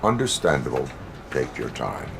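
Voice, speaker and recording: An actor playing a stoic cyborg police officer in a video game, speaking formally and deliberately like a machine. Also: male voice, extremely low pitch, gravelly, background noise, full character voice. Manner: formal, deliberate, flat robotic delivery.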